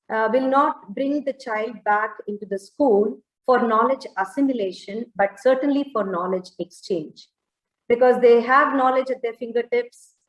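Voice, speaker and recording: A woman with an Indian accent speaking off the cuff as an education panelist, in a halting way with frequent self-corrections. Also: on the high side. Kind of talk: extempore panel talk on education. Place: indoor room, online webinar. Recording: roomy, echoing online call audio.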